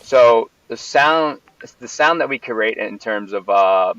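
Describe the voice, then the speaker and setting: A man's voice: medium pitch, animated, measured pace, fairly bright tone, dry recording. A man from Puerto Rico, a venue owner, answering interview questions in a rambling way with many fillers and restarts. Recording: distant, dull, lo-fi mic.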